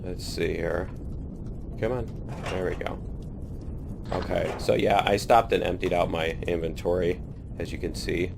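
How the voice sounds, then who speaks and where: A man's voice: low-pitched, fairly even intonation, measured pace, gravelly, pauses often, background noise. A man with a cold chatting casually while playing a video game, self-deprecating and laughing at his own mistakes. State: has a cold. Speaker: gaming YouTuber. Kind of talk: casual let's-play commentary.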